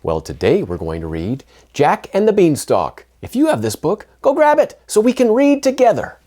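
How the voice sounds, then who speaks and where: A man hosting a read-aloud, telling a story to young children in an expressive, emphatic way. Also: high voice, normal speed, no echo, studio quality.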